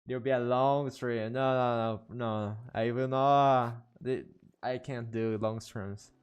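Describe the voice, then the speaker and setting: A man, a native Portuguese speaker with an accent, chatting casually as a game streamer in halting, repetitive phrases. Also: medium pitch, steady tone, smooth. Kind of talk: casual live stream chat.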